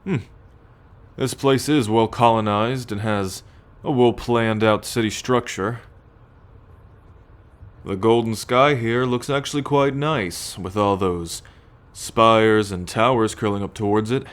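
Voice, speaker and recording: A low-pitched, haughty alien military captor, acted out by a man for an ASMR roleplay. He talks in measured, imperious phrases, musing and sighing, with a teasing possessiveness and cool menace toward his captive. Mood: proud, musing. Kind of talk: ASMR roleplay acting, addressed to the listener.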